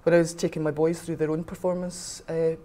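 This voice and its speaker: Low, mellow middle-aged Scottish woman. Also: level tone, fairly quick. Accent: west of Scotland. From west coast of Scotland. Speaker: interviewee, rugby official. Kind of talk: informal interview conversation.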